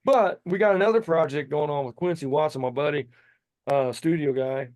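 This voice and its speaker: A man's voice: fairly high pitch, moderate pace, darkish tone, no echo. A man in his fifties, a singer and podcast guest, chatting casually and enthusiastically with lots of restarts. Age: 50s. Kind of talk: casual chat.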